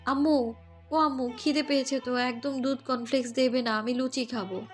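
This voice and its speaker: High, girlish young woman, played by a woman narrator. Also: fairly quick, close to an everyday voice. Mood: whiny, hungry. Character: pampered, childlike twenty-year-old daughter of the house. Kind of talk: audio story dialogue. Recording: music under the voice.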